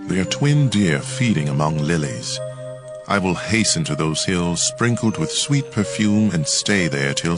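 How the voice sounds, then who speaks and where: Casting: lovestruck bridegroom in audio Bible drama, very low voice, tender and reverent, music underneath. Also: male voice, full character voice. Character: tender adoring bridegroom, a man in love. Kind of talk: dramatized audio Bible, slow poetic love speech. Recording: music bed behind the voice.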